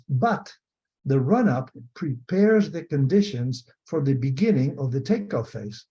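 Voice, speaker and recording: Older Spanish-accented man lecturing, mellow and dull-sounding. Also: fairly high pitch, breathy edge. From Spain. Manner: methodical, repeats and rephrases key points. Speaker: retired biomechanics professor, lecturer. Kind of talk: explanatory online lecture. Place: online video call. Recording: dull, muffled video call audio.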